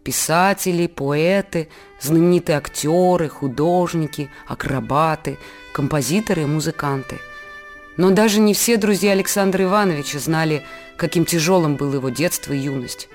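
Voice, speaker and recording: Casting: patient explaining radio storyteller, mid-pitched, faint music bed behind. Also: moderate pace. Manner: patient, explaining, asks teaching questions. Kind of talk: scripted educational narration.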